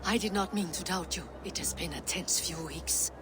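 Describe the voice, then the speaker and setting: A woman's voice: fairly low pitch, normal speed, background noise, lightly acted. An actress playing a wary Norse woman, shaken after an attack, who talks in a guarded and urgent way in a game cutscene. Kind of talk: video game cutscene acting.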